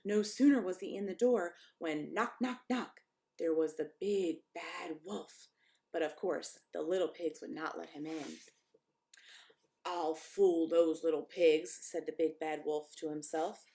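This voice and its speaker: A woman's voice: deep voice, fairly even intonation, measured pace, vocal fry, warm tone. A woman pastor reading a children's story aloud expressively, with chatty asides to young listeners.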